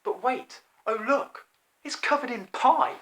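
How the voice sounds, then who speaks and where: A man in his twenties reading a rhyming children's story in an expressive, deliberate, theatrical way. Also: very high-pitched. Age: 20s. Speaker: author reading own children's book. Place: small plain room indoors.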